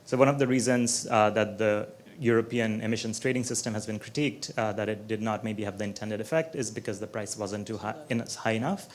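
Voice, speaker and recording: A man in the audience putting a question to the speaker. He talks fast in a fairly low voice and stumbles over fillers as he goes. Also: a little hiss or hum. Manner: rapid, hesitant, lots of "uh" and "like". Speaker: graduate student asking a question.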